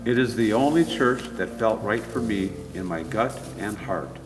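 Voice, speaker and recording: A man's voice: on the low side, expressive, moderate pace. A man reading written church testimonials aloud as a member of a team. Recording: echoey hall, distant mic, loud guitar music. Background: loud plucked guitar music.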